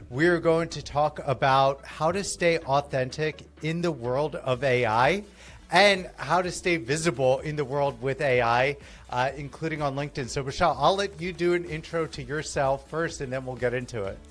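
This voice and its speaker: A man's voice: on the high side, moderate pace, fairly bright tone. A man hosting a podcast, asking earnest, loosely phrased questions in a casual interview. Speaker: podcast host.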